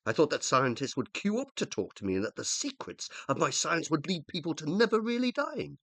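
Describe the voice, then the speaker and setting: An actor playing a dead scientist husband in a radio play, middle-aged and British, pompous, plaintive and indignant. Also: male voice, on the high side, very animated, a little fast, fairly bright tone, no room sound, clean studio sound, exaggerated voice. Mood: wounded, wistful. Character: dead scientist husband living as an uploaded consciousness, needy, indignant. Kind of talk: radio play dialogue and monologue.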